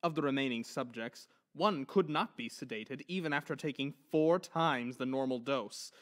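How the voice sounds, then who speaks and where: A man's voice: mid-range voice, moderate pace, a bit bright. A man narrating a horror story in an intense, theatrical manner.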